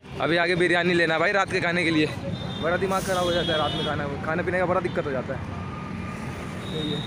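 A man's voice: fairly high pitch, fairly even intonation, fast, very noisy. A young man, chatting informally as he narrates a vlog into a handheld camera. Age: young adult. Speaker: student vlogger. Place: outdoors on campus, streets and station. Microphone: handheld camera at arm's length. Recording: music over outdoor phone-style vlog audio. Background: loud music.

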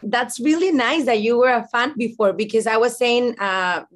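A woman with a Mexican accent and a fairly high voice speaks as an interview guest on a video call. She is a native Spanish speaker, heartfelt and animated. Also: normal speed. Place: small furnished room at home, video call.

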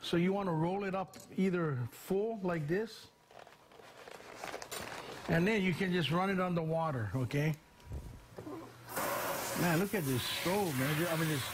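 A man in his sixties demonstrating a cooking tip in a home kitchen, in a relaxed, folksy way with many fillers. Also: high voice, unhurried, long pauses, noisy background. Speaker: chef.

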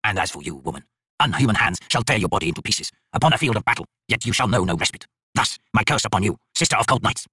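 A man voicing an arrogant, bitter tyrant High King with a raspy, theatrical, scornful delivery in an audiobook. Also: lively intonation, quick pace, pushed and bright, dry recording, heavily acted. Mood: cursing, vengeful.

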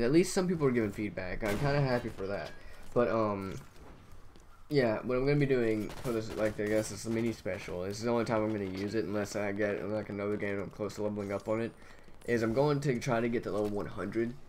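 Young male gamer host, lowish monotone voice, rambling casual chat, faint hiss behind. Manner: rambling, heavy on fillers. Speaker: gaming channel host. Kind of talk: casual gameplay commentary.

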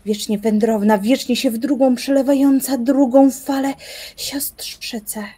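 A woman's voice: high-pitched, fairly even intonation, a little slow, warm tone, husky. An actress playing an obsessive young woman musing aloud theatrically in a radio play. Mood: bewildered, distraught. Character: intense obsessive young woman, brooding philosopher. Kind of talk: radio play dramatic monologue.

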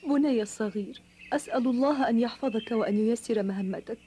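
A woman's voice: fairly high pitch, fast, dark tone, slight background noise, natural voice. A woman playing a tender, pious mother in a children's cartoon, prayerful and pleading. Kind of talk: dubbed children's cartoon acting.

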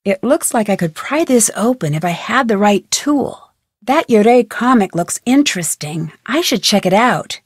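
An actress playing a plucky, curious young detective who perkily talks to herself in a video game. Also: female voice, mid-range voice, expressive, moderate pace, no room sound, studio quality. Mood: curious, musing. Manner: perky, crisp, self-talking. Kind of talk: video game character lines.

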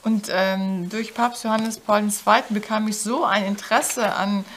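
An older woman interpreting a talk in a studio, halting, with many fillers and self-corrections. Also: medium pitch, steady tone, a little hiss or hum. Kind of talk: consecutive interpreting. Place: studio with backdrop.

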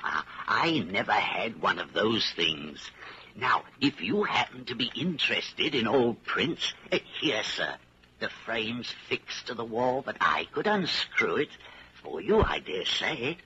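An actor playing an elderly widowed shopkeeper, chatty, wistful and deferential, rambling politely in 1940s radio drama style. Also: male voice, lowish voice, sing-song, a little slow, pushed and bright, exaggerated voice. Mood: chatty, obliging. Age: older. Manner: rambling, hesitant, polite old-timer's talk. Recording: muffled, hissy 1940s radio recording.

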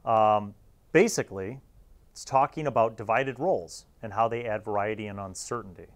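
A man in his forties with a slightly low voice hosts a casual review. He talks in an informal, wry, conversational way, leaning on words for emphasis. He is recorded on a lapel mic in a small studio. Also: normal speed. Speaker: host reviewing old gaming magazines. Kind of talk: casual, informal commentary to camera.

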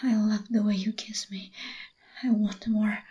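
A clingy, obsessive young boyfriend, as a woman whispers him in an ASMR roleplay. His soft, breathy whisper is slow and mellow, and he stammers and pleads as he goes. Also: medium pitch, flat delivery, highs cut off, natural voice.

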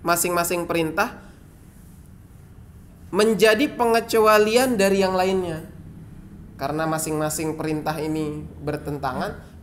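A man's voice: sits high, fairly bright tone, slight background noise. An Indonesian man teaching Islamic jurisprudence, reading Arabic lines aloud and then explaining them in plain words, with a lot of repetition. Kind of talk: explaining and translating a classical Arabic text.

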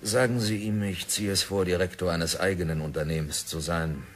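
A man's voice: sits low, fairly even intonation, moderate pace, lightly acted. A man playing a stubborn diamond prospector. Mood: defiant. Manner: defiant, self-assured, sardonic. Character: stubborn obsessed male diamond prospector, middle-aged. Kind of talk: 1960s radio drama acting. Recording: old radio play with faint music.

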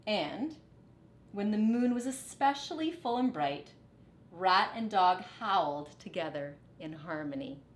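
A middle-aged woman reads a picture book to children in a teacherly way. Her pitch moves expressively and her pace is measured. The sound is echoey, like a living room. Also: lively intonation. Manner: teacherly, dramatic phrasing. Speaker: storybook reader. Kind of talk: expressive children's read-aloud.

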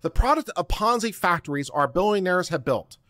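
A man's voice: sits high, expressive, brisk, a bit bright, dry recording. A middle-aged man reading a text aloud in a dramatic, emphatic, declamatory manner into a close desk microphone. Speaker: host of a legal commentary livestream. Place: home studio room, close and dry. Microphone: desk microphone close to the mouth.

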